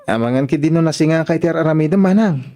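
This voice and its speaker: An actor with a northern Philippine accent playing a polite, bashful young son in a radio drama, talking in a shy, conversational way. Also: male voice, on the high side, fast talker, dark tone, natural voice.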